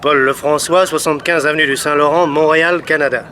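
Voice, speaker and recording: A brisk junior policeman in a vintage radio crime play. His voice is fairly high and clipped, with clear noise behind it. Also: fairly even intonation, bright, forceful tone. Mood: businesslike. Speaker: actor in a radio crime drama. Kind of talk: 1960s French radio drama acting. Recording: noisy outdoor-scene background in radio drama.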